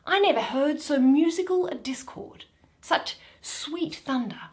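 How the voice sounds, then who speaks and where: A woman's voice: high-pitched, a little slow, a little mellow. A woman voicing a regal, nostalgic warrior bride, savoring lyrical Shakespearean verse. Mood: rapt nostalgia.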